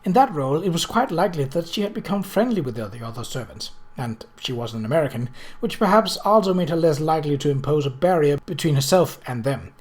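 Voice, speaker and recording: A man narrates a novel in a fairly high voice. His reading is measured and literary, with occasional small stumbles over the words. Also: expressive. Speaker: audiobook reader.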